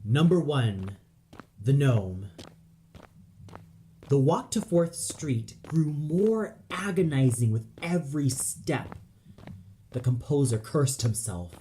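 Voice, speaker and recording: A man's voice: a little high, big pitch swings, slow, long pauses, dark tone, slight background noise, low-quality recording. A man narrating an audio play in a dramatic scripted style, solemn and theatrical.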